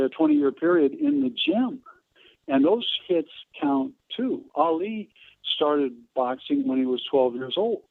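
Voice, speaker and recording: An American man around seventy speaks in an interview over a narrow, phone-like line. His pitch swings in a lively way and his voice has a gravelly creak. He tells stories haltingly, pausing and wandering as he goes. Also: no room sound. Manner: halting, digressive, pausing mid-sentence. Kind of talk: conversational interview storytelling.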